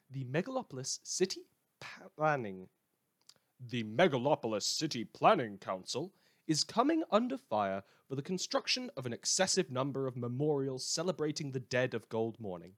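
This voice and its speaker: A man's voice: medium pitch, a bit bright. A British man hosting a satirical news show, reading scripted items with a wry, sardonic delivery. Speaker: fictional news show host.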